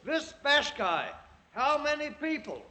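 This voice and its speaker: British ex-soldier adventurer, bright forceful voice, high pitch, commanding, power-hungry swagger, old radio drama. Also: male voice, very animated, slow pace, exaggerated voice. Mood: imperious, proclaiming. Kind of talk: 1940s radio drama acting.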